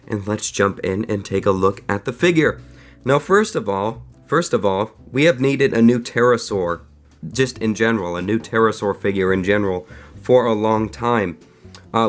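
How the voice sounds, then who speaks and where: A young man hosts a toy review while sick, which roughens his voice. His pitch sits a little low, and he talks in a chatty, enthusiastic way, full of fillers and small restarts. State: sick, voice affected. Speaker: toy reviewer, channel host. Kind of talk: casual video review.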